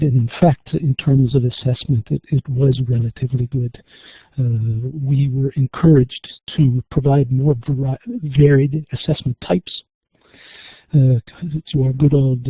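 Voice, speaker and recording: An American-accented man presenting in a webinar, measured and pausing with frequent fillers, on tinny phone-like audio. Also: mid-range voice, husky, no room sound. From USA. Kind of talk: presentation talk.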